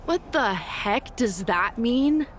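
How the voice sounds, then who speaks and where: A feisty young female wrestler in a video game. Her voice is high, blunt and indignant, over a faint background noise. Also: expressive, slow pace. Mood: annoyed. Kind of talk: cinematic game dialogue.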